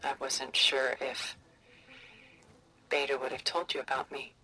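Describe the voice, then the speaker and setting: Low-pitched, refined immortal woman. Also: level tone, pushed and bright, slight background noise, exaggerated voice, rough recording. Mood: composed, soothing. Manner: measured, elegant, genteel phrasing. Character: refined, immortal older woman, poised and persuasive. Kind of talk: cinematic video game acting.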